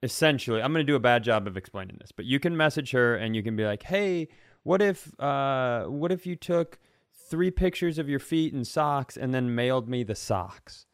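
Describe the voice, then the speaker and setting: A man's voice: medium pitch, lively intonation, moderate pace, clear, resonant tone, dry recording. Man in casual comedic podcast chat, dry sound.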